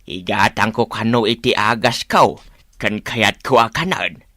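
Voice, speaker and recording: A man's voice: fairly low pitch, unhurried, bright, forceful tone, no room sound, studio quality. A man with an Ilocano accent playing a stubborn, smug old husband who teases his wife in a radio comedy-drama.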